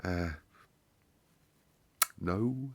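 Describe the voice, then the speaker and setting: A man's voice: low voice, extremely slow. A man hosting story time in casual, playful chat, a little breathless with a chesty cold. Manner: chatty, self-deprecating, playful repetition. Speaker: storyteller and channel host.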